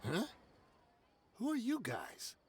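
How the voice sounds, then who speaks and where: A man's voice: high voice, huge pitch swings, takes it slowly, a little mellow, slightly rough, exaggerated voice. A man playing a nervous, greedy, wheedling smuggler in video game dialogue. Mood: alarmed.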